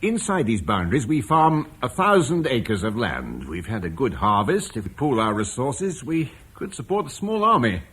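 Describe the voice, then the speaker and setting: An actor playing a confident Roman landowner in a radio drama, measured and persuasive. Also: male voice, mid-range voice, big pitch swings, fairly bright tone, husky. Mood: earnest, persuasive. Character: earnest, confident Roman landowner planning a defence. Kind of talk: British radio drama acting.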